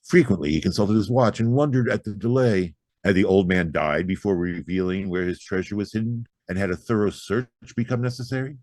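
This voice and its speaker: A man reading a horror story aloud as an audiobook narrator, with theatrical, expressive delivery. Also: fairly low pitch, fairly quick, few pauses, no echo.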